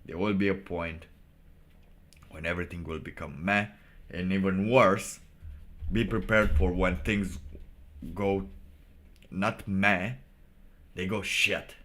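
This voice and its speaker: A man giving off-the-cuff commentary as a streamer, philosophizing in a halting, rambling and blunt way. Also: low-pitched, fairly even intonation, a bit bright. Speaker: live streamer reacting to motivational videos.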